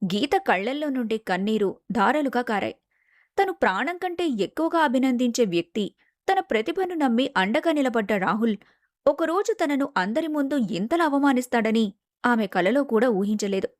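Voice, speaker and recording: Higher-voiced woman narrating a story. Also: expressive, normal speed, no echo, studio quality. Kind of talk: scripted dramatic story narration.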